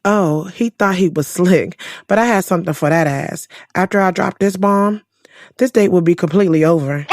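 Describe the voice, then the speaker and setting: A woman's voice: fairly low pitch, moderate pace, darkish tone, no room sound, close to an everyday voice. A woman playing a frank, sassy young single woman in an audio drama, conversational, slangy and wry. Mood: amused, sly. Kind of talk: first-person audio drama narration and dialogue.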